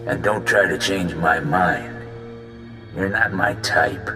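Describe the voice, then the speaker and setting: An actor playing an arrogant crime boss in a video game, taunting and threatening in a deliberate manner. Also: male voice, low-pitched, over music. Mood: cold threat. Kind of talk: video game cutscene acting.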